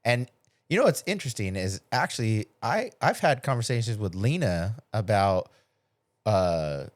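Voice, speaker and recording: A man's voice: fairly low pitch, sing-song, normal speed, no room sound. A middle-aged man in a casual podcast chat, telling personal stories with acted-out quotes and loose, salty language. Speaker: podcast host.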